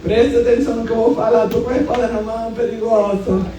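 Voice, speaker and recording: A woman in her fifties with a Brazilian accent preaching as a pastor, moving into colloquial, animated and emphatic personal storytelling. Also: a little high, fast. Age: 50s. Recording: echoey hall, distant sound, music underneath.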